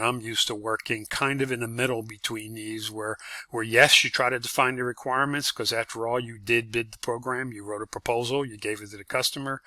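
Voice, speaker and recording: An older man lecturing on course material as an instructor, speaking in a measured, halting way with frequent drawn-out uhs. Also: medium pitch, bright, forceful tone, dry recording.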